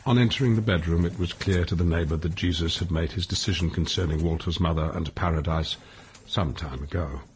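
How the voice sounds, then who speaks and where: A man as the narrator of a radio play, telling a bleak life story in a deliberate, matter-of-fact, sober way. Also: sits low, a little hiss or hum, lightly acted. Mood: sober, measured. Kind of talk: scripted radio drama narration.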